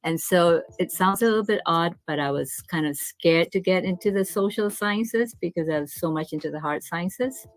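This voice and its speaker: A woman from Singapore with a notably low voice. She is a guest on an interview show and answers with candid reflection, pausing with "um" as she thinks. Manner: thoughtful, candid, frequent ums. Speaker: nutrition professor, guest.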